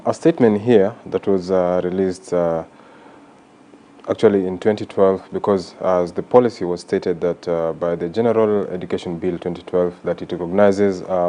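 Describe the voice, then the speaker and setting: A man hosting a radio talk show, listening with steady murmurs and asking long, measured questions. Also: low voice. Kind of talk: radio interview conversation.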